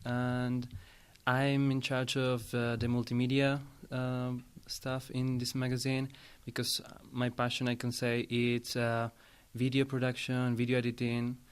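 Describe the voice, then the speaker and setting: Young male student guest from Bulgaria, markedly monotone, smooth clean tone. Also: mid-range voice, a little slow, hardly any pauses. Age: young adult. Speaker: student multimedia producer, interview guest. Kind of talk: self-introduction in an interview.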